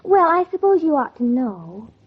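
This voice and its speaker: A woman's voice: sits high, sing-song, moderate pace. Scheming teenage girl, old tinny radio. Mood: feigned shame. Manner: feigned sweet innocence turning coldly bitter. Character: scheming, spiteful teenage girl narrating her own revenge. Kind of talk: 1940s radio drama acting. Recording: muffled, tinny old 1940s radio recording.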